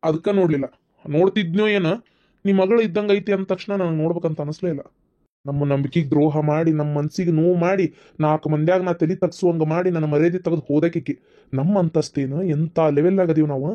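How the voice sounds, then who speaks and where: Fast, high-pitched, unforgiving grandfather played by a man. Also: steady tone, mellow, natural voice. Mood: stern, bitter. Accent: North Karnataka. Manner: scolding, dismissive. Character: stern, proud, unforgiving grandfather and husband. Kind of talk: cartoon family drama acting. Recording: dull, muffled lo-fi sound.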